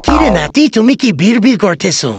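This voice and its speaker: A woman's voice: a little high, big pitch swings, quick pace. A woman voicing a whiny, aggrieved old grandfather in a comic cartoon, indignant and pleading with melodrama. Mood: grumbling. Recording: noisy amateur cartoon dub with clicks.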